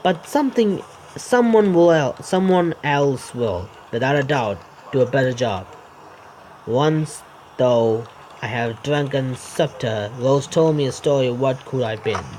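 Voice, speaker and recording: A man's voice: mid-range voice, expressive, unhurried, darkish tone, a little hiss or hum, rough recording. A man reading a visual novel's narration aloud for a let's play, often stumbling over the words.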